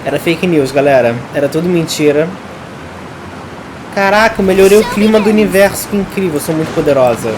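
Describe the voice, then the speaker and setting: A woman's voice: on the low side, animated, fast talker, background noise. A woman with a Brazilian Portuguese accent doing casual, playful game commentary in a joking, slangy way. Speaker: gaming YouTuber. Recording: game music behind the voice.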